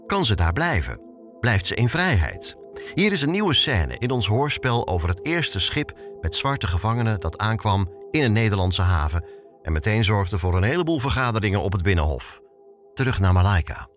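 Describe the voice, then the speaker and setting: A man's voice: on the low side, sing-song, moderate pace. A man hosting a podcast, presenting in scripted narration. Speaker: podcast host. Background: music.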